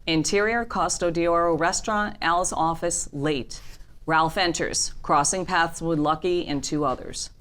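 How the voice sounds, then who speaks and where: A woman narrates a television script at a table read. Her voice is deep for a woman, and she reads out scene headings and action as scripted narration. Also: steady tone, a little slow, fairly bright tone. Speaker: narrator reading stage directions.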